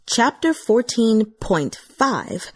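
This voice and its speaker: A woman's voice: on the high side, very animated, slow pace, dry recording, clean studio sound. A woman reading scripted fiction as an audiobook narrator.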